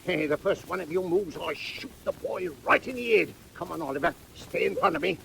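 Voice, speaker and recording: A nasty thug burglar, gravelly and snarling, noisy old 1940s record. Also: male voice, fairly high pitch, fairly quick, a bit bright. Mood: tense threatening. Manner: threatening, snarling. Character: brutal, menacing male burglar, adult, bullying and violent. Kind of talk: 1940s dramatised audio acting.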